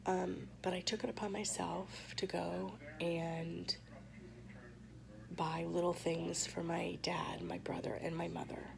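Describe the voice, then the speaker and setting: A woman vlogger speaks to camera with a hoarse, heavily gravelly voice that sits a little low. She tells her story softly and haltingly, pausing often. A crowd murmurs behind her. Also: little pitch movement. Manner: soft, halting, with many pauses and fillers.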